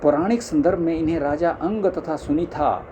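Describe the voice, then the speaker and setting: A man with a somewhat higher-pitched voice reads scholarly scripture aloud in a formal way. It sounds like a homemade audiobook, with clear noise behind the voice. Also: moderate pace, muffled recording. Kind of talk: reading scholarly religious text aloud.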